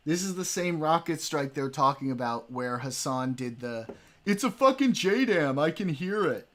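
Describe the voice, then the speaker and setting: A man's voice: fairly high pitch, animated, normal speed, fairly bright tone. A young adult man streaming, talking in a loose, joking, profane way while reacting to a debate. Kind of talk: casual livestream commentary.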